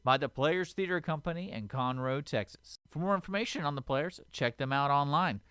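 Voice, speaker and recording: Clear, dry-sounding male station announcer. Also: on the high side, brisk, a bit bright, no echo.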